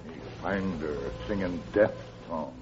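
An actor playing a loyal, stoic scout in an old radio western, speaking terse, deliberate broken English. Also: male voice, sits low. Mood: stoic, urgent. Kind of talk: 1950s radio western acting. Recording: noisy, dull old radio recording.

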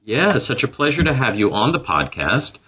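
A man's voice: mid-range voice, big pitch swings, fairly quick, slightly rough, lo-fi sound. A man hosting an interview podcast, speaking in a polite, conversational way.

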